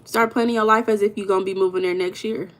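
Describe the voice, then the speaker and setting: An American woman from Los Angeles hosts a live stream and chats casually with her viewers. She teases and banters in loose slang. Her voice sits at an ordinary middle pitch. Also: steady tone, fast talker. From Los Angeles, USA. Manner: playful, slangy, teasing banter with chat. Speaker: livestream host. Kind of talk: casual live chat reading viewer comments.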